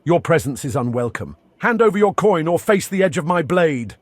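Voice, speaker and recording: Husky, menacing game guard. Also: male voice, a little high, expressive, normal speed. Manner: menacing, commanding, archaic formal phrasing. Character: hostile threatening armed guard or bandit, game character. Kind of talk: video game character voice line.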